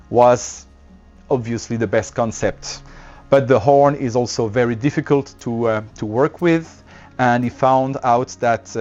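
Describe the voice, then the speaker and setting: A man with a French accent, a company representative explaining his products in a trade show interview, with many fillers and pauses. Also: medium pitch, a little mellow, lo-fi sound.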